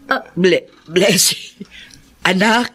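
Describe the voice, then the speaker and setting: Bright-voiced contrite mother. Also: medium pitch, extremely slow, a little hiss or hum. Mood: tender. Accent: Filipino. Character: contrite mother returning to her abandoned children. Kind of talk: Filipino radio drama acting.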